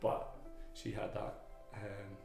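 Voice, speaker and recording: A man with a very deep, gravelly voice speaks haltingly, full of fillers and restarts as he tells his own story. The room around him is echoey, and clear music plays behind. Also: monotone, a little mellow. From Wales. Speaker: guest, army veteran. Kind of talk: candid personal interview.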